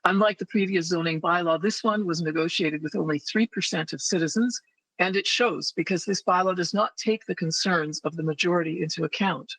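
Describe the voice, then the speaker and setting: High-pitched gravelly man reading firmly. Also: a bit bright, no room sound, low-quality recording. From Canada. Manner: reads a firm, measured statement with pointed questions. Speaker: public speaker at a council hearing. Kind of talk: prepared public statement. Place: council chamber.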